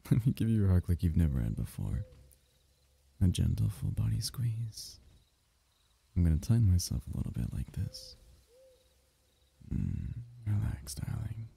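Guy doing a low gravelly alien symbiote for asmr, husky n breathy, soft possessive coaxing. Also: very animated, measured pace, pauses often, heavily acted. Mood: gently hushing. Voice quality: husky, breathy, often dropping into whisper. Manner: soft, coaxing, intimate, reassuring. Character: possessive, soothing alien symbiote, male, semi-liquid creature. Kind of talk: ASMR roleplay.

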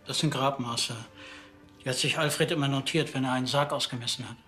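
Bright-voiced, formal undertaker, played by a man. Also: mid-range voice, fairly even intonation, brisk, soft background music, close to an everyday voice. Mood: formal, businesslike. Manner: correct, formal. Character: formal, businesslike male undertaker. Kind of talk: TV crime comedy acting.

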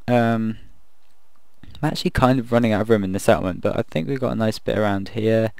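A man casually commenting while he builds in a video game, thinking aloud and rambling, his voice croaky from the late hour. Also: fairly low pitch, brisk, a little mellow, dry recording. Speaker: gaming video creator.

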